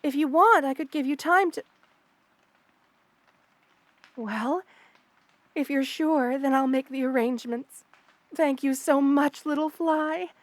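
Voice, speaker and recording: A woman plays a motherly spider queen, a monster woman with a tender, protective way about her. Her voice sits fairly high and sounds close and dry. She speaks soothingly and a little formally, pausing hesitantly, as in an intimate ASMR roleplay. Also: dry recording. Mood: touched, loving. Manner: gentle, soothing, hesitant pauses, formal wording. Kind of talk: ASMR roleplay, intimate one-sided dialogue to the listener.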